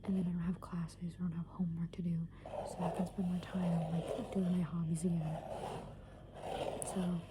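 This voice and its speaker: Woman, 20s, ASMR creator. Hushed whispered rambling, casual and meandering, with clear background noise. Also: lowish voice, flat delivery, moderate pace. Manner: casual, meandering, thinking aloud. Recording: clearly noisy background hiss and ambience.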